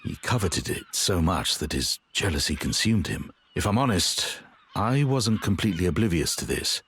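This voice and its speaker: A man voicing a grave, honourable, regretful warrior in a video game, earnest and measured. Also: low-pitched, lively intonation, a bit bright, slight background noise. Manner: earnest, measured, formal, brooding. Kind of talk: dramatic video game voice acting.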